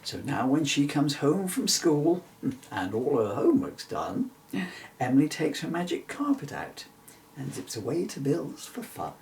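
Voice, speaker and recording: A middle-aged man narrates a rhyming storybook with theatrical flair. His pitch swings widely and has a creaky grain. He sounds slightly distant from the microphone, with faint noise behind. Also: unhurried. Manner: animated, theatrical. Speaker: children's author reading their own story-poem.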